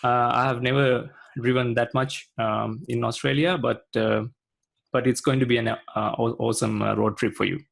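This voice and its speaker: A man's voice: mid-range voice, flat delivery, normal speed, vocal fry. A man from Sri Lanka speaking as a guest in a casual video-call interview, hesitant and full of fillers. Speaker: guest, IT consultant.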